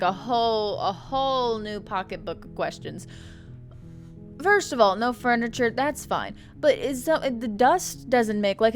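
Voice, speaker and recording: Joking, rambling young woman gamer. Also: a little high. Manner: rambling, joking, stammering restarts. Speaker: streamer playing a game. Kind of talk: casual gameplay commentary.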